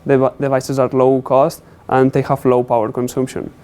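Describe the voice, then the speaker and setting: Young man giving a halting technical presentation. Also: medium pitch, monotone, darkish tone. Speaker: student presenter.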